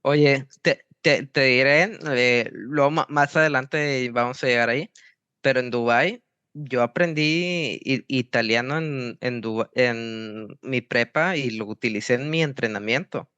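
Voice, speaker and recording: Halting young coach, smooth voice. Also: male voice, medium pitch, bright, forceful tone, no room sound. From Mexico. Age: young adult. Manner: halting, many restarts and stammers. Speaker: guest, football coach. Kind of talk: interview answers. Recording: dry, slightly dull sound.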